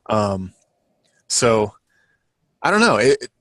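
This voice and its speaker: A man in a casual podcast chat as a fiction writer, talking in a rambling, halting way as he thinks aloud. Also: fairly low pitch, big pitch swings, fairly bright tone, no echo.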